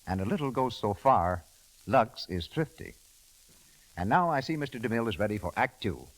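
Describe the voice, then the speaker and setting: A man's voice: on the low side, very animated, normal speed, low-quality recording. A man announcing and reading a scripted advertisement in 1930s radio style.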